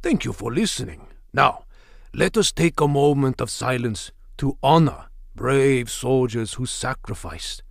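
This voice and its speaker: An actor playing a deranged, paranoid sushi chef in a video game, ranting theatrically with a gravelly voice. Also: male voice, mid-range voice, expressive, unhurried, no room sound, studio quality. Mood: paranoid, fervent. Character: deranged sushi chef obsessed with hunting octopus and fish. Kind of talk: video game character acting.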